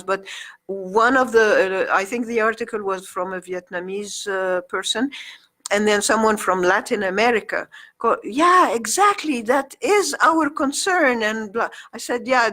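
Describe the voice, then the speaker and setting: Middle-aged woman, guest, from Egypt, Arabic mother tongue, halting and hesitant delivery. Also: mid-range voice, fairly bright tone. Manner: hesitant, many ums and restarts. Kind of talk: casual interview chat.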